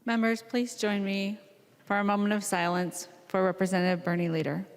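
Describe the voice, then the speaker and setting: A woman's voice: mid-range voice, flat delivery, brisk. A woman presiding over a legislature, making formal procedural announcements. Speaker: presiding House speaker. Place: large legislative chamber. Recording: reverberant large chamber hall.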